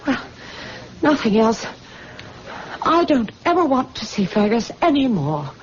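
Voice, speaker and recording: A woman's voice: a little high, very animated, a little slow. An actress playing a proud, lovestruck young woman in an old-time radio drama, haughty and theatrical. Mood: anxious, cold. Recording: muffled old radio recording, faint noise.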